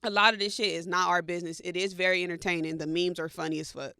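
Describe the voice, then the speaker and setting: A woman's voice: on the low side, steady tone, fast talker, sharp tone, clean tone, no room sound, clean studio sound. An American woman co-hosting a talk show, chatting casually in blunt, slangy language. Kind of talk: casual culture gossip chat.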